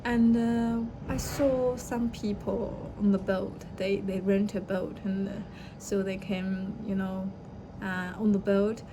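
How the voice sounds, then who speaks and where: A woman's voice: mid-range voice, flat delivery, slow. A young woman, a native Chinese speaker, talking through a personal reflective monologue with many fillers and restarts. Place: outdoors by the sea. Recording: noisy outdoor ambience.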